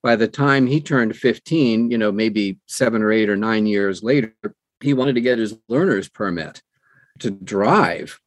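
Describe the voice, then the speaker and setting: A man's voice: fairly low pitch, a little slow, no echo. A middle-aged American man answering conversationally as an interview guest, explaining earnestly and emphatically with anecdotes. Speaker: podcast guest, audiobook narrator.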